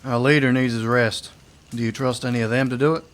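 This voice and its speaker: A man's voice: medium pitch, steady tone, brisk, close to an everyday voice. Man playing a wary, sceptical bounty hunter. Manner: wary, plain questioning. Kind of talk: radio drama acting.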